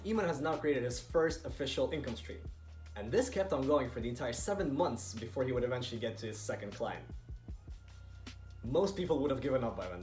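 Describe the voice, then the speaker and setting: A man's voice: medium pitch, darkish tone. A young man from Estonia giving scripted motivational narration in an earnest, emphatic manner, as a video creator. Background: background music.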